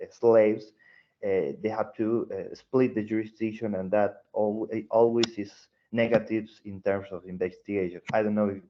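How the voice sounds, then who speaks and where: A man from Argentina with an Argentine Spanish accent, haltingly putting a question in an online meeting. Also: fairly low pitch. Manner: halting, repeats words. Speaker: meeting participant asking a question. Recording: dull, lo-fi online call.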